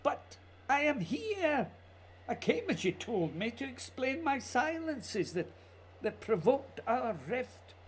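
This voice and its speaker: A man acting in a radio play as a wistful, anxious writer who dreams himself onto the polar ice, speaking in a halting, poetic way. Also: very high voice, sing-song, breathy edge, heavily acted. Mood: pleading.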